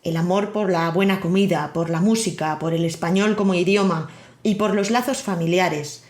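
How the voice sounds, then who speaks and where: A woman's voice: fairly low pitch, fairly even intonation, normal speed, a little mellow. A woman with an accent from Spain, hosting a podcast and reading a scripted monologue with wry, personal storytelling. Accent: Spain Spanish. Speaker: podcast host. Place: living in Miami.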